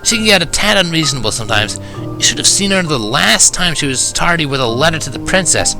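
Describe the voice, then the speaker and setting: Guy doing a brash girl pony, fast n swooping, teasing, cocky. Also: a little high, animated, music in the background, voice pushed up, low-quality recording. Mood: exasperated. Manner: casual, blunt, cocky. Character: brash, teasing young female pegasus pony. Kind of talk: fan fiction reading.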